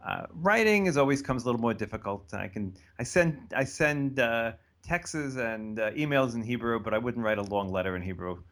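An American man around seventy, answering interview questions in a relaxed, thoughtful way that wanders and restarts. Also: mid-range voice, a little fast, hardly any pauses. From United States. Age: about 70. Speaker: interview guest. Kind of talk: casual conversational interview.

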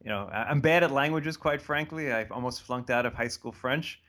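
An American man around seventy, answering interview questions in a relaxed, thoughtful way that wanders and restarts. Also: mid-range voice, fairly quick. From United States. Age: about 70. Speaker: interview guest. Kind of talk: casual conversational interview.

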